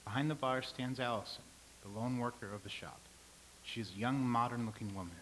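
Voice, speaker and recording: A man reading the stage directions aloud in an even, matter-of-fact way. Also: medium pitch, a little fast, low-quality recording. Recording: faint hiss.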